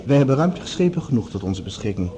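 An actor playing a commanding project leader, speaking formally and with measured authority in a radio drama. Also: male voice, medium pitch, sing-song, a crowd in the background, close to an everyday voice. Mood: businesslike. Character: commanding male project leader addressing assembled scientists. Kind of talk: radio drama acting, formal speech-making.

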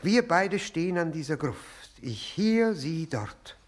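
An actor playing an obsequious, hard-drinking, obsessive widower in a German radio drama, halting and repeating his words. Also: a little high, sing-song, heavily acted. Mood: intense.

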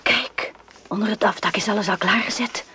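A woman's voice: mid-range voice, fairly even intonation, moderate pace, sharp tone, lightly acted. An actress playing an earnest, anxious, dutiful young married woman in a Dutch radio play, speaking with worried restraint. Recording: noisy old radio play recording.

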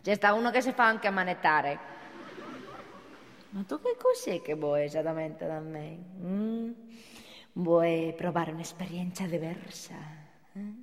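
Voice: mid-range voice, a little slow, long pauses, a bit bright. A performer playing a brash, teasing streetwalker with a Roman accent in a radio drama monologue. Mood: coaxing. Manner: teasing, provocative, colloquial. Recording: reverberant hall.

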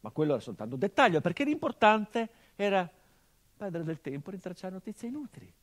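A bitter, haunted grieving son. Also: male voice, sing-song, fast. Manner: bitter irony, shifting from loud outbursts to low hushed tones. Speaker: stage actor. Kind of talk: theatrical monologue.

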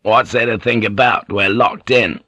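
A man voicing a sour, insolent and vain samurai lord with a gruff manner in an audiobook. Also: lowish voice, lively intonation, normal speed, bright, forceful tone, no room sound, heavily acted. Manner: gruff, sour, interjecting grunts. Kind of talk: audiobook character acting.

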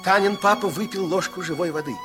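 A man's voice: high voice, fast talker, over music, close to an everyday voice. A man narrating a Soviet children's radio play in a theatrical, playful, confiding storytelling style. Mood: expressive storytelling. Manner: theatrical, expressive. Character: expressive fairy-tale narrator, playful and confiding.

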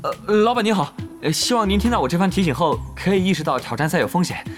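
A man's voice: high voice, very animated, fast, faint music behind. A young man acting in a Mandarin audio drama as a cautious, nitpicking gamer who talks in wordy, sardonic questions. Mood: probing.

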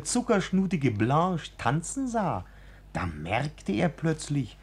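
A man playing an excitable, droll teller of tall tales in a 1940s German radio drama, exaggerating with relish. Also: mid-range voice, breathy edge, exaggerated voice. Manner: exaggerated, relishing word lists. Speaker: narrator in a radio play. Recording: 1940s studio radio recording with music.

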